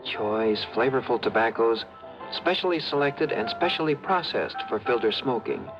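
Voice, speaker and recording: A man's voice: mid-range voice, expressive, normal speed. An American man announcing a scripted advertisement in 1950s radio style. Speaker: commercial announcer. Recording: dull vintage radio ad with loud music.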